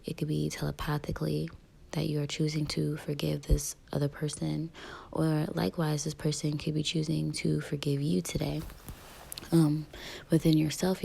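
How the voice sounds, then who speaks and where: A woman with a low, gravelly voice talks through a casual tarot reading. She speaks softly, close to a whisper at times, with plenty of ums, and her tone stays level and mellow. A touch of morning roughness from allergies sits in her throat. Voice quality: raspy. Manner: soft, breathy half-whispered delivery with frequent ums. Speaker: tarot reader.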